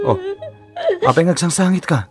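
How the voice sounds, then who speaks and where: A man's voice: high voice, wildly swooping pitch, normal speed, husky, dry recording, soft background music, full character voice. A man playing a weary, torn husband and doting father in a radio drama, speaking in a subdued, troubled way. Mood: concerned. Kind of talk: Ilocano radio drama acting.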